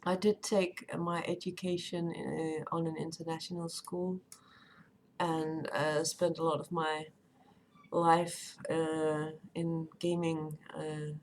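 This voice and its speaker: Danish-accented woman streaming, slow and hesitant. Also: low voice, little pitch movement, a little hiss or hum. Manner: hesitant, many ums and uhs while searching for words. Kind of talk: casual live-stream chat.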